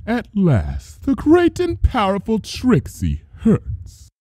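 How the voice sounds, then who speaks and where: A wounded, wrathful pony princess, performed by a man for a dramatic fan fiction reading. The voice is lifted above a man's natural pitch and swings wildly. The delivery is grand and accusing, in a very dry recording. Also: huge pitch swings, takes it slowly, darkish tone, dry recording, full character voice. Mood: cold, scornful.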